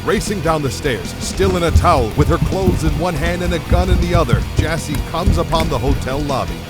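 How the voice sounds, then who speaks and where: A man's voice: fairly high pitch, lively intonation, a little fast. A man narrating a scripted action drama as its storyteller. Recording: very loud music behind the voice. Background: very loud music.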